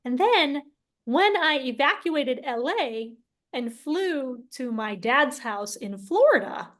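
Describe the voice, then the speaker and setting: A woman's voice: high voice, sing-song, slow. A woman hosting an interview as a casual chat, informal and emphatic, repeating phrases for emphasis. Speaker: interview host.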